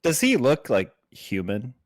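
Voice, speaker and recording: A man's voice: mid-range voice, wildly swooping pitch, a little slow. A man playing a tabletop roleplaying game, talking casually and inquisitively.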